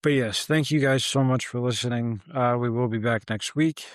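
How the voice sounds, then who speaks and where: A man's voice: medium pitch, moderate pace, dry recording. A man co-hosting a podcast, talking casually in a blunt, dry, sarcastic way. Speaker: podcast co-host. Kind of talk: casual chat.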